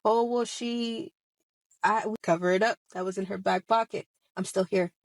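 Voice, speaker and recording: A woman's voice: medium pitch, steady tone, fairly quick, vocal fry, dry recording. Emphatic, confrontational woman of thirty. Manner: emphatic, confrontational, rhetorical questions. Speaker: online video host speaking about personal experience. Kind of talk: impassioned personal testimony straight to audience.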